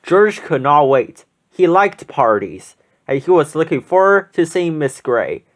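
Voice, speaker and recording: An animated reader tells a children's picture book aloud. The pitch sits in the middle and moves up and down widely, giving the narration an expressive, storytelling shape. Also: unhurried.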